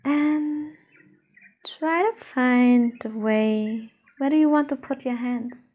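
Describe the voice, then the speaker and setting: High-voiced slow woman guiding meditation. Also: highs cut off. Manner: soothing, unhurried, gentle instructions with pauses. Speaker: meditation teacher, channel host. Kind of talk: guided meditation.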